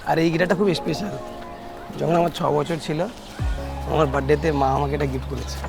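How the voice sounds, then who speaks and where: Male actor as young man, earnest proud artist, fast speech, film drama, background music. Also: fairly high pitch, fairly even intonation, vocal fry. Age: young adult. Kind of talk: acted dialogue in a Bengali short film.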